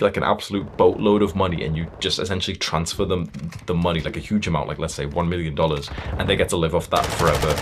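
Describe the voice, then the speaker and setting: A man's voice: low voice, a little hiss or hum. A young man giving a blunt, stern motivational talk as a self-improvement coach, emphatic and swearing freely.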